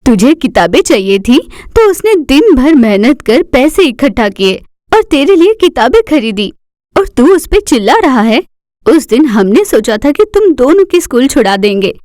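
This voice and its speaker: Woman acting a high-voiced scolding mother. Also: darkish tone, dry recording, clean studio sound. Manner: scolding, emphatic. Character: protective, scolding mother of poor children. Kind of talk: animated moral story dialogue.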